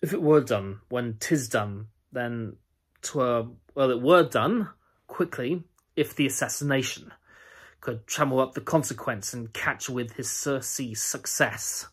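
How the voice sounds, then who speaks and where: A young man playing a guilt-torn Scottish nobleman, speaking Shakespearean verse in a measured, brooding way with a husky voice. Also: medium pitch. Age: young adult. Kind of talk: Shakespeare audition monologue.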